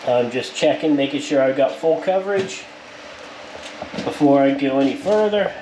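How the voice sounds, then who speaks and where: Plain-spoken man over clear shop noise. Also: medium pitch, lively intonation, normal speed. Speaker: powder coater presenting his shop's work. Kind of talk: casual hands-on workshop narration to viewers. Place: powder coating workshop.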